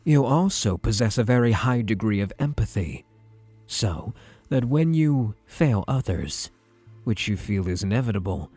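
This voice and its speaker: A man playing a self-assured, possessive psychiatrist in an ASMR roleplay, speaking in a measured, soothing, formal manner with a husky voice. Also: fairly low pitch, warm tone, no echo. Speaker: roleplay voice actor. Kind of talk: ASMR roleplay, scripted monologue to the listener.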